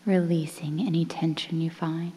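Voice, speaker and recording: A woman guiding a relaxation meditation in a soothing, breathy, near-whispered voice with measured phrases and pauses. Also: deep voice, little pitch movement, mellow. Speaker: guided meditation instructor. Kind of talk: guided relaxation meditation, softly spoken. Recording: long reverberant hall-like echo.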